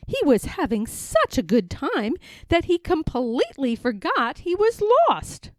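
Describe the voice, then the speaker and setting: A woman reading a children's picture book aloud in an expressive, sing-song way. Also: high-pitched, measured pace, no room sound. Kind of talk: storybook read-aloud.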